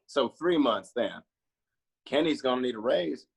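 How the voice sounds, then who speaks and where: A man's voice: mid-range voice, lively intonation, measured pace, gravelly, slightly rough, full character voice. A man playing a goofy, teasing cowboy bar worker in a screenplay table read, jokey and folksy. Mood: folksy, wry.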